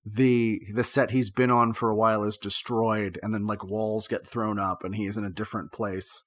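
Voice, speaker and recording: A man co-hosting a podcast, speaking in a measured, thoughtful way about a TV show. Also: on the low side, flat delivery, a bit bright. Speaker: podcast co-host. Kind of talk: casual chat about a TV show.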